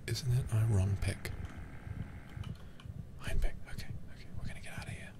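A man livestreaming a game in hushed, breathy whispers, ASMR style, close to the microphone. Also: on the low side, normal speed. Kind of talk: whispered ASMR gameplay commentary.

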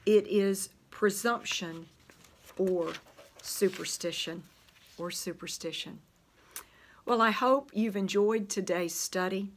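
An older woman with a Southern US accent leads a church Bible lesson at an unhurried, measured pace. Her voice is slightly worn as she recovers from the flu, and she leans on key phrases for emphasis. She is recorded by a desk camera in a church library. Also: medium pitch, a little hiss or hum. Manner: slow, deliberate teaching with emphasis on scripture quotes. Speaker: Bible study teacher. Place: church library with bookshelves, indoors.

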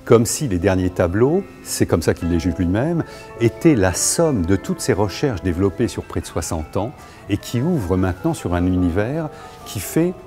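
A middle-aged man, a museum curator, explaining an exhibition in a measured, articulate, lecturing way, recorded on a lapel mic in a large gallery. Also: low voice, sing-song, over music. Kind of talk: explanatory art commentary.

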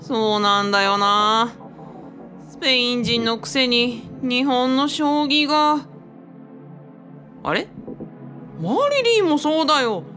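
A slightly high-voiced, friendly young man played by a woman speaks with a Kyushu accent in a radio drama. He mutters and exclaims, easily flustered, with faint music underneath. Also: expressive, slow pace, pauses often, sharp tone, heavily acted. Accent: Kyushu Japanese. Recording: music behind the voice.

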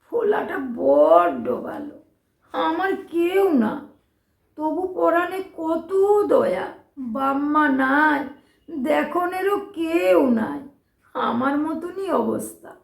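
A woman's voice: high-pitched, big pitch swings, slow, vocal fry, roomy sound, exaggerated voice. A woman playing a poor, tender, grieving older aunt in an emotional audio drama, crooning soothingly in an eastern Bengali dialect. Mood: weary, resigned. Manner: crooning, repeating phrases soothingly.

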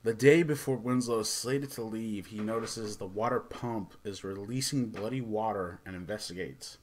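Halting low-voiced man reviewing casually. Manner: halting, stumbles over words. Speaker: host of a movie review show. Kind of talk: casual off-the-cuff review commentary.

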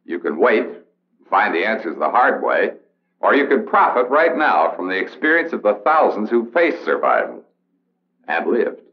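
An actor playing a serious Air Force officer instructor with an American accent, lecturing in a measured, formal way on an old training film. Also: male voice, fairly low pitch, sing-song. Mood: serious, instructive. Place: indoor briefing room film set. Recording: dull vintage film soundtrack.

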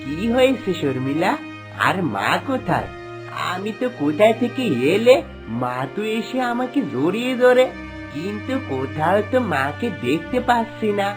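A woman's voice: mid-range voice, sing-song, normal speed, over music, natural voice. A woman voicing a bewildered village husband and devoted son in a cartoon, questioning and plaintive. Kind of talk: cartoon voice acting.

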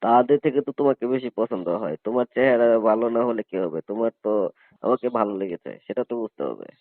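A man's voice: medium pitch, expressive, quick pace, no room sound. A man playing a coercive college hostel superintendent in a phone-call drama, insistent and persuasive as he pressures a student. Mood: coaxing.

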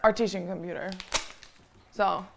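A woman's voice: on the low side, fairly even intonation, unhurried, gravelly, slight background noise. A woman in her late twenties chatting casually on a live stream from her home kitchen, teasing and swearing freely. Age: late 20s. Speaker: streamer co-host.

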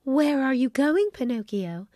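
Soft-spoken fairy, gentle and hushed, storybook, as a woman reads her. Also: high voice, expressive, measured pace, dry recording, studio quality, pitched above the performer's own voice.

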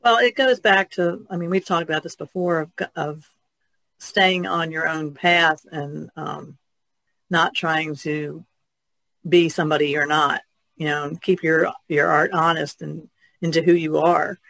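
Lady podcast guest, lowish creaky voice, thoughtful casual chat, no room echo. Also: fairly even intonation, a little slow, a bit bright.

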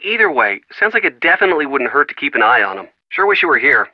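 A man's voice: medium pitch, sing-song, fast, dry recording. A man playing a supportive young boyfriend in a video game, casual, wry and affectionate over a phone line. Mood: teasing, concerned. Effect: telephone filter. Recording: band-limited phone-line sound.

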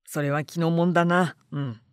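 A woman voicing a crafty, gruff male rogue in a storybook reading, blunt and speaking rough rural dialect. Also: low-pitched, fairly even intonation, normal speed, dry recording, clean studio sound, natural voice. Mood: sly, explaining.

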